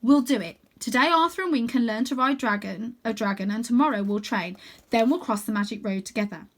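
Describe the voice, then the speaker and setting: A woman's voice: a little high, fairly quick, lightly acted. A woman voicing a plucky, practical young girl adventurer in a children's storybook, speaking directly and persuasively. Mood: decisive.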